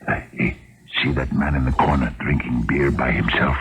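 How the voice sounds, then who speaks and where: An actor playing a scheming, talkative young vagrant in old Russia, with a hesitant, ingratiating manner, in a 1970s American radio drama. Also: male voice, a bass voice, fairly even intonation, moderate pace. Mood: sly, conspiratorial.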